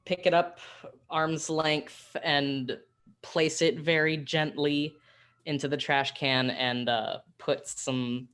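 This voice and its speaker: A woman's voice: sits low, fairly even intonation, slow, fairly bright tone. A woman improvising her actions as a player in a tabletop roleplaying game.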